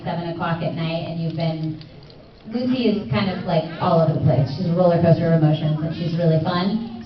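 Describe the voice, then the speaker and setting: A woman's voice: medium pitch, highs cut off, slight background noise. A woman voice actor chatting on a convention panel, playful and laughing often. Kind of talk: casual panel chat. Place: large convention hall with audience. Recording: echoey convention hall from far off.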